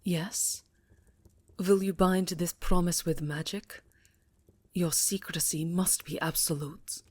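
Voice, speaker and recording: A woman acts out an elegant vampire countess in a low voice, close and dry. She murmurs formally and seductively to the listener as in roleplay ASMR, taking her time over each phrase with a breathy, theatrical touch. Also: fairly even intonation, slow, darkish tone, dry recording. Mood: grave, pleading. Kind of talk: roleplay ASMR acting, intimate address to listener.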